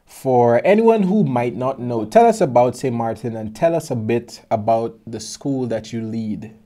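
A young man with a mixed Caribbean lilt leads a relaxed podcast chat, his voice sitting at a middle pitch. He jokes often and breaks into laughter, speaking into a close studio mic. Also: unhurried. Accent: Caribbean English, mixed Guyanese and Bahamian. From Guyana. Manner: joking, laughs often, easygoing. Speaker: podcast host. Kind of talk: casual interview chat. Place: small studio with desk. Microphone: studio mic on stand.

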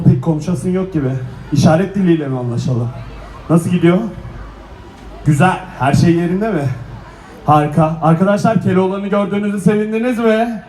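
A man presenting a live children's stage show, rallying the audience with questions. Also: sits high. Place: children's event stage in a shopping mall. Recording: roomy event sound, faint music and crowd.

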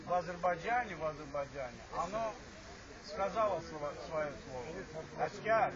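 A man's voice: a little high, moderate pace. Older man, rambling reunion speech. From Azerbaijan. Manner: rambling, repeats himself, stops to restart. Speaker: alumni speaker. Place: assembly hall. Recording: dull lo-fi recording with chatter from the audience.

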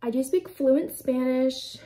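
A woman's voice: high-pitched, slow pace, dark tone. Chatty young woman rambling with "like". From United States. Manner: chatty, rambling, heavy use of "like". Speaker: beauty vlogger. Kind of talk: casual get-ready-with-me chat. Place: small indoor room with a plain backdrop.

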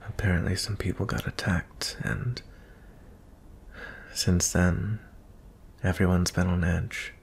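Deep, slow, gentle fantasy prince acted by a man. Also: little pitch movement, gravelly, heavily acted. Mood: apologetic, gentle. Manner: soft-spoken, hesitant, breaking into whispers. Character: gentle, merciful young fantasy prince, male. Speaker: audio roleplay performer. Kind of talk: intimate ASMR fantasy roleplay acting.

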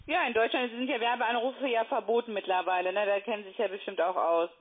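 A woman making an unscripted sales call, brisk and argumentative. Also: on the high side, steady tone. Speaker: telemarketing caller. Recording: thin tinny phone line.

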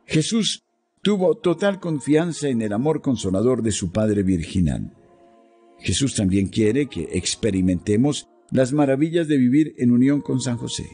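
A man reading a devotional text in a slow, solemn, measured way, pausing between phrases. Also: medium pitch, slightly rough. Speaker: devotional reader. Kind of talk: slow scripted devotional narration. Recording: soft music underneath.

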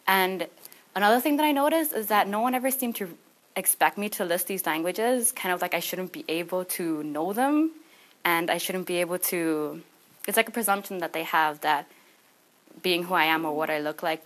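A woman's voice: fairly low pitch, fairly bright tone. A young adult woman, a student speaker, giving an informal, conversational presentation in a small classroom. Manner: conversational, anecdotal. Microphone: camera a few meters away.

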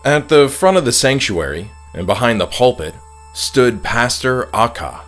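A man narrating a scripted horror audiobook in a measured, dramatic storytelling manner. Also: mid-range voice, big pitch swings, a bit bright, faint music behind. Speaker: author reading his own novella.

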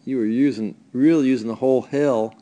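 Man, ski racing coach, video voice-over, relaxed, halting, filler-heavy. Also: mid-range voice, highs cut off. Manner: relaxed, hesitant, many fillers.

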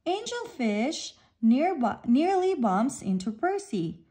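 A woman reading a children's picture book aloud in an expressive, deliberate and emphatic way. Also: high-pitched, slightly rough. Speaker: storybook reader. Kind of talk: animated children's read-aloud narration.

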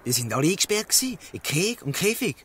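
A man's voice: a little high, sing-song, fast talker, a bit bright, slight background noise. An actor playing an eager, defiant street gang boy in a Swiss German dialect radio play, blurting out his words. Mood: boastful, defiant.

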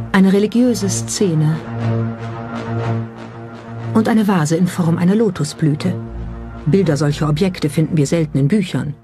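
A documentary narrator reading a scripted narration. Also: medium pitch. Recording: music under the narration. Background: music.

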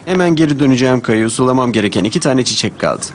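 A man's voice: mid-range voice, animated, fast talker, heavy background noise, lightly acted. A man voicing a kindly, encouraging young father in a children's cartoon. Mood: friendly.

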